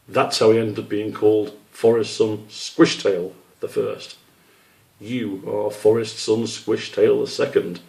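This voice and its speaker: A man plays a father squirrel from a children's storybook. He is proud and long-winded, explaining everything emphatically in a mellow voice. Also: fairly low pitch, vocal fry, poor audio.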